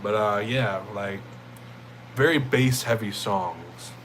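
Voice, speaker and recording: A young man with a deep, jazzy voice, chatting casually with viewers on a livestream in a loose, rambling way. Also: slow, fairly bright tone, a little hiss or hum, rough recording. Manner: laid-back, rambling, thanks chatters as "my friend" and "bro".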